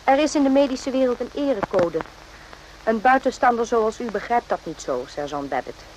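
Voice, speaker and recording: A woman playing a guarded, correct doctor in a radio play, speaking in a measured, formal way. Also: fairly high pitch, expressive, a little mellow, close to an everyday voice. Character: uneasy, firm female army doctor, correct and guarded. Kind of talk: radio drama acting with narration. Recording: noisy old radio play recording.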